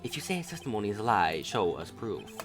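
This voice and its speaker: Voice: low voice, very animated, quick pace, over music. A streamer doing a cold, haughty male prosecutor. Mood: condescending.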